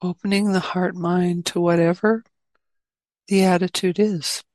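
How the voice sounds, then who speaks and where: A woman leading a guided meditation as a teacher, speaking gently in spacious phrases with long pauses. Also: fairly low pitch, flat delivery, no echo.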